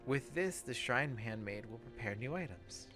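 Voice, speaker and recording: A man's voice: mid-range voice, animated, moderate pace, a bit bright, soft background music. A man hosting a let's play, giving casual gaming commentary.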